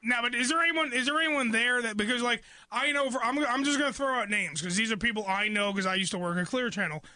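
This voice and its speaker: A woman's voice: on the high side, fast talker, few pauses, pushed and bright, dry recording. A woman hosting a radio show, interviewing in a casual, blunt, opinionated and informal way.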